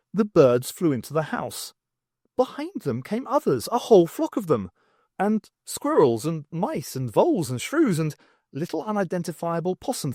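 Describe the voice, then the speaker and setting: A man reading scripted fiction as an expressive audiobook narrator. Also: fairly high pitch, dry recording, clean studio sound.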